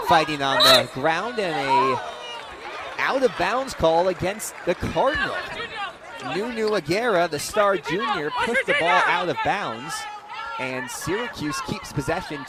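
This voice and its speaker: A young man calling live basketball play-by-play on radio with energy, raising his voice for big plays. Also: fairly high pitch. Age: young adult. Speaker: play-by-play sports commentator. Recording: loud crowd and gym noise behind.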